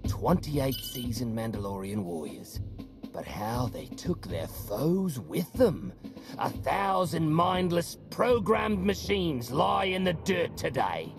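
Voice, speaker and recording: Gravelly male warrior, huge pitch swings, brash, sardonic, theatrical boasting, game cutscene, music behind. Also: wildly swooping pitch, slow pace, exaggerated voice. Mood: sardonic report. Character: brash, sardonic mercenary warrior hungry for combat. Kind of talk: video game cutscene acting.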